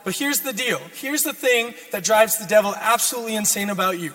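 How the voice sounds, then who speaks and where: A hoarse young man preaching a sermon as a guest speaker, repeating his key lines and building in intensity. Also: high-pitched, a little fast, fairly bright tone. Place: church sanctuary. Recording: long church reverb.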